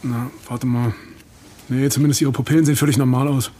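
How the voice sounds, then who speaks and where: An actor playing a gruff, exasperated doctor among friends in a naturalistic audio drama. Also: male voice, mid-range voice, flat delivery, brisk, dark tone, a little hiss or hum, lightly acted. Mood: matter-of-fact.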